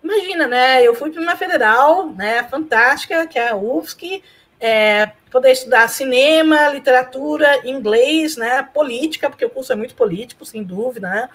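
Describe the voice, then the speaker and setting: A talkative woman from Argentina, Spanish her first language, with a fairly high voice. She rambles warmly through memories, laughing often and checking in with little tags. Recorded over a video call from a room at home. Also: normal speed. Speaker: guest, professor and blogger. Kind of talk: casual interview chat.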